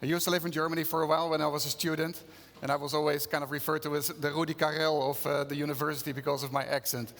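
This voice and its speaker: A man's voice: a little high, little pitch movement, racing, fairly bright tone. A man from the Netherlands with a Dutch accent, giving a conversational conference talk and putting questions to the audience. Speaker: conference speaker. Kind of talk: conference keynote presentation. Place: conference venue stage. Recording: long reverb of a conference hall.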